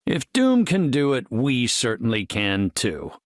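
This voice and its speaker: Brainy, articulate superhero scientist, lively pitch. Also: male voice, a little slow, no room sound, clean studio sound. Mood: thoughtful, composed. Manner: articulate, formal, erudite wording. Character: brainy, courteous middle-aged male superhero scientist, confident and earnest. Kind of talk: video game character voice lines.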